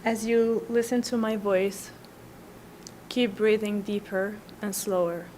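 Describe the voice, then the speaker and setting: A woman guiding a breathing meditation slowly and soothingly, with long pauses between instructions. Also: mid-range voice, fairly even intonation, a little mellow, slight background noise. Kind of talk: guided meditation.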